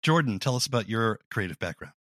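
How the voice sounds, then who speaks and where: Man, interview host, conversational questioning, lowish pitch, dry studio-like sound. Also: lively intonation, fast, a bit bright, no room sound.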